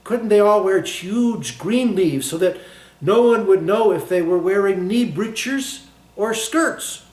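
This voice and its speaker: A woman's voice: medium pitch, expressive, takes it slowly, a little mellow, echoey room, distant mic, pitched above the performer's own voice. Man reading as a naive, eager woman. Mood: eager suggestion.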